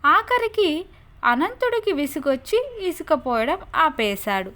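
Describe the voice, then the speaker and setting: A woman narrating moral folk tales for a cartoon channel, with a deliberate storytelling delivery. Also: sits high, sing-song, a bit bright.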